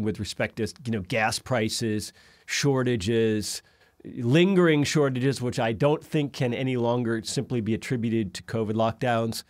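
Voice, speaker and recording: A middle-aged man hosts a talk over a video call from an office, with a dry, close sound. He speaks at a medium pitch and keeps a measured pace as he sets up long, careful questions. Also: no room sound. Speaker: host. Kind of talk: interview questions and discussion. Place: indoor office in front of a backdrop.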